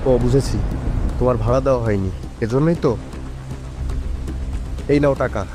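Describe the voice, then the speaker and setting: A man's voice: medium pitch, normal speed, natural voice. A man voicing a despairing, irritable man with an agitated way of talking in a cartoon thriller. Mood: puzzled, sullen. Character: despairing, irritable man drawn into a deadly game. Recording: music behind the voice.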